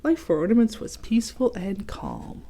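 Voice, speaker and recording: A woman reading a storybook aloud for young children, expressive, with chatty explanatory asides. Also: a little high, normal speed. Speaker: children's read-aloud host.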